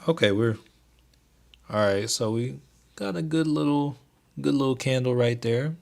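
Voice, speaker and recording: A young man hosting a casual stock trading livestream, talking in a soothing, even voice and chatting with viewers in a laid-back way. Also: medium pitch, a little slow, vocal fry. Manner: laid-back, keeps delivery even, reads chat aloud.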